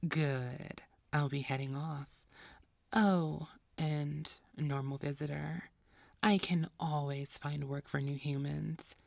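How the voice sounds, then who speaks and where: A woman voicing an imperious vampire boss in an audio roleplay, commanding, condescending and deliberate. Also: deep voice, level tone, creaky, darkish tone, no room sound, clean studio sound, full character voice. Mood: imperious, menacing.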